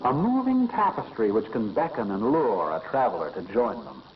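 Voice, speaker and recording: An actor playing a smug, sinister, seductive LSD tablet, narrating theatrically in the style of a 1960s educational film. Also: male voice, on the low side, big pitch swings, slight background noise. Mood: seductive, ominous. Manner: theatrical, boastful, deliberate. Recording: dull vintage film soundtrack.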